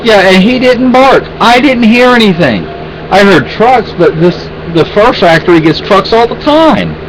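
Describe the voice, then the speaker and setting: High-voiced man venting at home. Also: animated, moderate pace, slight background noise, poor audio. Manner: rambling, exasperated, many restarts. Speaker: vlogger. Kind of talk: casual venting chat.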